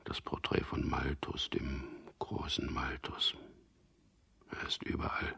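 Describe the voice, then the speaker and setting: An actor playing a dazed, fearful prisoner thinking aloud in a radio drama, halting and hushed, with a husky, gravelly voice. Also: male voice, rumbling low, completely flat delivery, exaggerated voice. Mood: dazed, numb. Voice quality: husky, gravelly, half-whispered. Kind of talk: radio drama inner monologue.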